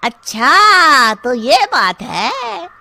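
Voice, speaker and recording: A woman's voice: sits high, big pitch swings, vocal fry, pushed and bright, exaggerated voice. Woman acting gloating male thief posing as a ghost. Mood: scheming. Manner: menacing, taunting, drawn-out exclamations.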